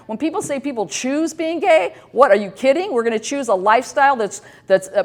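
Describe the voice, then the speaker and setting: Candid joking woman in her fifties. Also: on the high side. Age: 50s. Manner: candid, self-deprecating, rambling with many fillers. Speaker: host. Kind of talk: casual talk show monologue.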